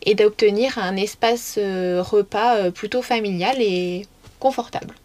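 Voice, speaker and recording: A woman's voice: medium pitch, measured pace, a little mellow. A woman in her thirties casually explaining costs from inside a van, hesitant with frequent fillers. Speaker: vanlife vlogger.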